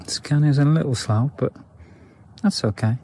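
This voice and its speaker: A man's voice: mid-range voice, moderate pace, dark tone, a little hiss or hum. A man giving a casual, hands-on product review in an understated, matter-of-fact and candid way.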